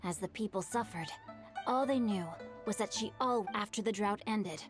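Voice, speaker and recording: A woman's voice: medium pitch, normal speed, music in the background, natural voice. A woman voicing a bookish, courteous young boy in an anime game, polite and thoughtful.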